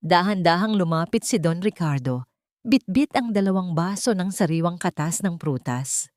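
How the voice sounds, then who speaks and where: A woman's voice: on the low side, animated, normal speed, darkish tone, no echo, studio quality. A woman with a Filipino accent narrating a dramatic story from a script. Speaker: audiobook narrator.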